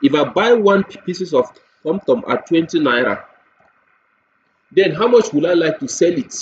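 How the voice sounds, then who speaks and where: A man with a Nigerian accent giving a software tutorial walkthrough, explaining step by step and repeating points with everyday examples. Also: fairly high pitch, expressive, normal speed. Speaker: software demonstrator. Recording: dull lo-fi mic with faint noise.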